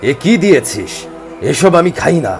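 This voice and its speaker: A man voicing a bloodthirsty evil spirit disguised as a village husband, gravelly and curt, in an animated horror story. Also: a little high, normal speed, soft background music. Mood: gruff, irritated. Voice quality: gravelly, frightening voice. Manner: curt, threatening.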